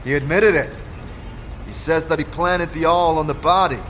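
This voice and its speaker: A man's voice: a little high, normal speed, fairly bright tone, slight background noise, pitched above the performer's own voice, close to an everyday voice. A man voicing a firm, blunt investigator hero who questions others in a fantasy game audio drama. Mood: accusing.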